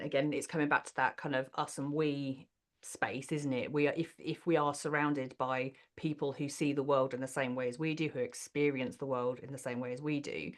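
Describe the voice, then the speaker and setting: A woman leading an online workshop, affirming participants and asking them questions. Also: low-pitched. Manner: warm affirming, asks questions of the group. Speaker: inclusion coach leading a session.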